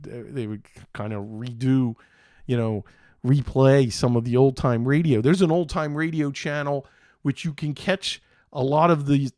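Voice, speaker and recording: A middle-aged man hosts a show in a casual, conversational style. He takes his time, rambling and pausing as he goes, with clear enthusiasm. His mid-pitched voice sounds dry, with very little room around it. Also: darkish tone, no echo. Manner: rambling, enthusiastic, halting delivery. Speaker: host of a tech show. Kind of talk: casual solo chat to viewers.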